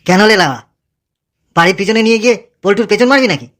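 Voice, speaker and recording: A woman voicing a rowdy fast-talking village lad. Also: mid-range voice, animated, background noise, close to an everyday voice. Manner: brash, swearing, bossy with friends. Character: rowdy foul-mouthed young village man. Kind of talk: cartoon comedy voice acting.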